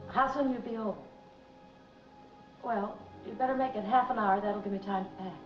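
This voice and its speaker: Actress as a brisk American gangster's moll. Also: female voice, mid-range voice, close to an everyday voice. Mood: eager, urgent. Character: scheming gangster's girlfriend, eager to flee, film noir. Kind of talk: 1940s film noir acting. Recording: dull 1940s film soundtrack, background music.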